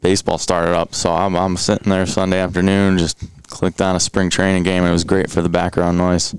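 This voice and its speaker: A man's voice: low-pitched, completely flat delivery, a little fast, smooth. A young man hosting a casual sports chat, upbeat and chatty with lots of fillers. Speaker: podcast host.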